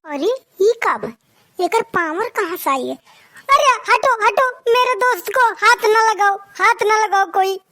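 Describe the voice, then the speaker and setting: Squeaky cartoon boy, very high pitch, Awadhi accent, cheeky, whiny, boastful. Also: lively intonation, unhurried, pushed and bright, full character voice. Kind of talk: comic rustic cartoon dialogue.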